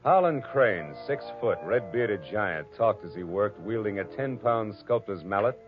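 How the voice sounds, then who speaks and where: An actor playing a wry, hard-boiled detective with an American accent and a dry, laconic delivery in the style of 1940s radio. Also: male voice, on the low side, lively intonation, measured pace. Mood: wry narration. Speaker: lead actor and narrator. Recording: dull old radio recording with music.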